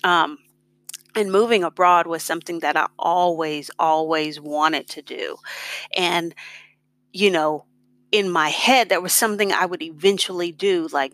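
A middle-aged woman with an American accent talks in a slightly low voice at a very unhurried pace. She sounds close and dry, with no room around her. Her delivery is casual, reflective personal storytelling. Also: a bit bright, no room sound. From United States. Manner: reflective, conversational. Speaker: blogger on expat life and personal finance.

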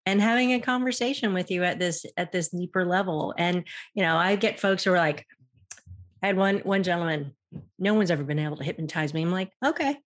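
A woman's voice: lowish voice, fairly quick. An American woman speaking as a hypnotherapist and podcast guest, explaining things casually and acting out short quotes. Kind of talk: casual explanatory interview talk.

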